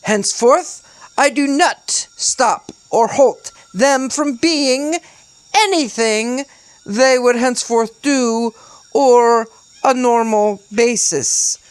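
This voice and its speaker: A woman's voice: fairly high pitch, slow, clear, resonant tone, no room sound, voice pushed up, rough recording. A woman voicing a pompous male island castaway who rambles theatrically in an over-the-top British accent.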